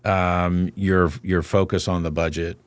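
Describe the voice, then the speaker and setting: A man hosting a talk show who frames his interview questions in a measured way, pausing with fillers. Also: low voice, little pitch movement, clear, resonant tone, dry recording. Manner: measured, pauses with fillers while framing questions.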